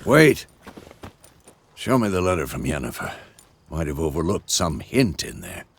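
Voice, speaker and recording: Wry, gravelly old mentor warrior. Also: male voice, sits low, very animated, a little hiss or hum, exaggerated voice. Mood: gently insistent. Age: older. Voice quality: creaky, gravelly. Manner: unhurried, wry, musing. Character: old male mentor warrior, wry, gruff but kindly. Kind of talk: video game acting.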